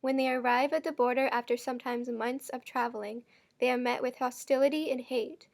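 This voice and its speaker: A woman's voice: high-pitched, steady tone, a little fast, vocal fry, a little mellow. A young woman reading an explanatory script for a student documentary. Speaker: student narrator.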